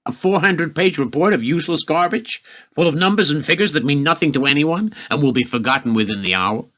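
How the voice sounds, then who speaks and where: Animated, sardonic actor as male political aide. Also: on the high side, a little fast. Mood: cynical. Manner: sarcastic, grumbling. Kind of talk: radio drama dialogue.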